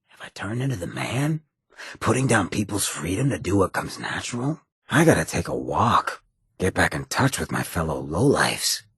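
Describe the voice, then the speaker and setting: An actor playing a swaggering, irreverent outlaw drifter in a video game, with a raspy, gravelly voice and a slangy, sardonic drawl. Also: male voice, low-pitched, fairly bright tone, no echo, studio quality, full character voice. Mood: weary, resolute. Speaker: video game companion character. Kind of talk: scripted game dialogue, wry banter.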